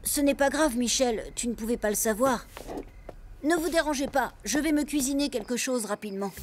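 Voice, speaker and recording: A woman voicing a polite, worldly, precocious boy in an animated cartoon, with a poised and courteous manner. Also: fairly high pitch, moderate pace, background noise, lightly acted. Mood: polite, self-assured. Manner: poised, courteous, knowingly refined.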